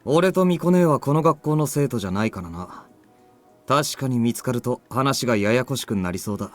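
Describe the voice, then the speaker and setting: Fast-talking anime young man played by an actor. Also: medium pitch, expressive, soft background music, close to an everyday voice. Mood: matter-of-fact. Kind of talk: Japanese visual novel voice acting.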